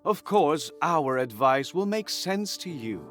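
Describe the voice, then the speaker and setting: A man's voice: on the high side, big pitch swings, takes it slowly, a bit bright, soft background music, exaggerated voice. A man playing a flamboyant, smug and gleeful god in a theatrical style for a fantasy audio drama. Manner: theatrical, exclamatory.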